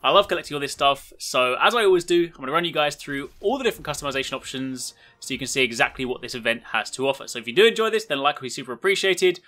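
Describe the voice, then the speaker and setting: A man's voice: a little high, animated, very fast, hardly any pauses, bright, forceful tone, soft background music. A man giving an upbeat, casual and enthusiastic video walkthrough as a gaming host.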